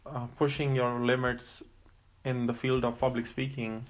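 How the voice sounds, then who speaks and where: A man's voice: mid-range voice, level tone, moderate pace. A young man from India, co-hosting a casual podcast and chatting in a teasing, self-assured way. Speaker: podcast co-host. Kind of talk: casual chat.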